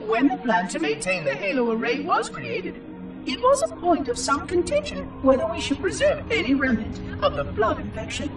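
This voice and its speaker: A man's voice: very high-pitched, exaggerated voice. A man voicing an ancient, fussy, curious robotic monitor in a video game, with precise, formal, theatrical delivery and a synthetic processed sound. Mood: earnest, grave. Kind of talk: video game cutscene log narration. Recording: distant, echoing, music underneath. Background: music.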